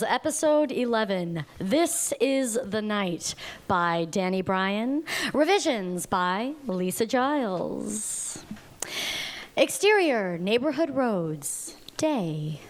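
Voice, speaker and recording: Slow, deliberate woman narrator. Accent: Mid-Atlantic American. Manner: slow, deliberate reading with lively pitch swings. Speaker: narrator reading stage directions. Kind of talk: table read narration of a script.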